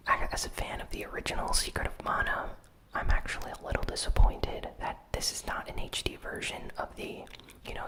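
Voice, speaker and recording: A person whispering a casual ASMR gaming news recap in a breathy, unvoiced, soft-spoken and informal way. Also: low-quality recording. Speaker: host of a gaming news show.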